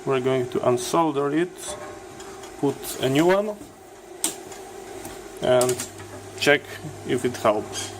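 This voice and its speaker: A man presents a hands-on repair tutorial at a very slow pace. His phrasing is halting and non-native as he explains each step. His pitch is average, and a clear background hum runs under the voice. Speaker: electronics repair video host.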